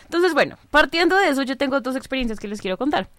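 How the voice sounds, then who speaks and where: A woman's voice: sits high, expressive, fast, fairly bright tone. A woman from Colombia with a Bogotá accent, co-hosting a radio talk show and chatting through anecdotes into a studio mic. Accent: Bogotá Colombian Spanish. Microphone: studio microphone.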